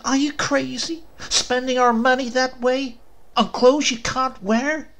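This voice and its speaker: A man voicing a scolding, exasperated storybook wife with a sharp, snapping, nagging manner. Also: very high voice, steady tone, a little slow, voice pushed up, full character voice. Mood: furious.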